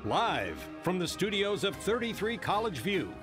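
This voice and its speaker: A man's voice: sits high, huge pitch swings, measured pace. A man reading a scripted radio show introduction and sponsor list in an upbeat announcer delivery. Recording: voice over loud music bed.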